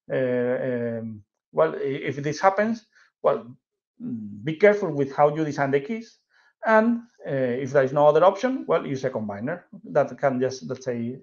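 A man in his forties from southern Spain with a Spanish accent, giving an informal technical presentation in a halting way with frequent checking tags. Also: on the high side. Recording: dull, lo-fi webcam audio.

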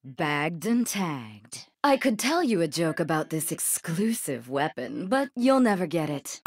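An actress voicing a cocky, wry female bounty hunter sniper from a video game, with a swaggering, deliberate delivery. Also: deep voice, sing-song, full character voice. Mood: smug, taunting. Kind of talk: video game voice lines, short combat barks and quips. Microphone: close studio microphone, dry.

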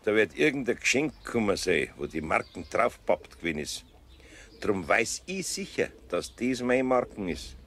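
An older Bavarian craftsman, teases slyly in a children's radio play. His voice creaks and swings widely in pitch, good-natured throughout. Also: big pitch swings, normal speed, fairly bright tone, a little hiss or hum. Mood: probing. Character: older master carpenter, good-natured, sly and teasing.

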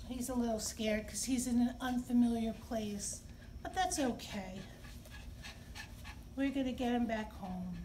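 A middle-aged woman hosting story time, speaking to young children in simple, expressive phrases. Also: fairly high pitch. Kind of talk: read-aloud and talk for young children. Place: indoor library children's room. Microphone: camera a few feet away. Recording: distant camera mic, clear background noise.

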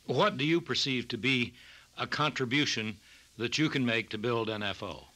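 A man hosting a farm news program, reading scripted narration and interviewing a guest in a measured, formal way. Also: medium pitch, pushed and bright. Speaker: radio program host.

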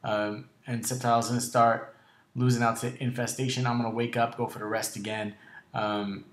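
A man hosts casual video game commentary with a raspy, sore voice that runs a little short of breath. His pitch is average, and the room adds clear echo. He is laid-back and halting as he narrates each move. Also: flat delivery. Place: indoor room at home.

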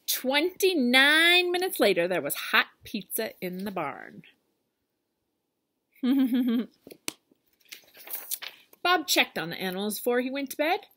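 A woman reads a children's picture book aloud like a teacher. Her voice is bright and very expressive, with lively pitch movement. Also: slow pace, pauses often, slight background noise. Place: outdoors on a deck among trees.

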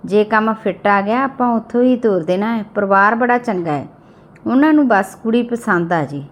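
A woman voicing a brisk, pushy male village matchmaker in an audio story. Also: medium pitch, lively intonation, lightly acted. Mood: businesslike.

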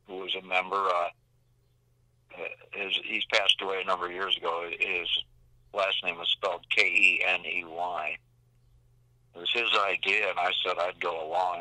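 An older man recalling an expedition in a casual interview, speaking in a halting, unhurried way. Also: low-pitched, level tone, pushed and bright, no echo, rough recording. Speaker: expedition veteran being interviewed. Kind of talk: casual reminiscence.

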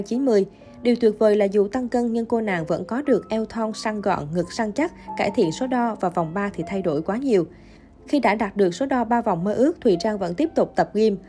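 A woman narrates a scripted news feature in a mellow, warm voice with a steady, even read. Soft music plays quietly behind her. Her pitch sits in a middle range for a woman.

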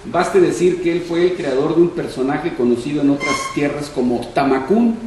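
A man in his sixties with a northern Mexican accent, giving a prepared talk as a panel speaker and reading from notes in an expressive, deliberate way. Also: on the high side. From Monterrey, Mexico. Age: 60s. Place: conference room panel, indoors. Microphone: shared table microphone on stand. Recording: echoey room, distant mic, faint noise.

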